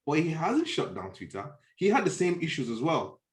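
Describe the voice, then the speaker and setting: A man's voice: on the high side, huge pitch swings, moderate pace. A man with a Nigerian accent in a conversational discussion, often stopping to search for words and restate his point.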